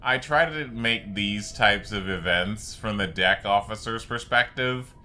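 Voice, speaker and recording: A man's voice: on the low side, a little slow, sharp tone. A man giving casual, rambling gameplay commentary, explaining things with frequent asides. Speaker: gaming video creator narrating gameplay. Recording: game music behind the voice. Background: video game music.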